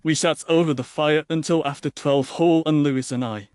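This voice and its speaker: A man voicing an earnest, troubled gentleman who speaks formally and emphatically, in an audiobook. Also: on the high side, steady tone, moderate pace, clear, resonant tone, dry recording, voice pushed up, close to an everyday voice. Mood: earnest, insistent. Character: Sir Alastair, earnest, troubled gentleman under questioning. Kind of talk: audiobook character dialogue.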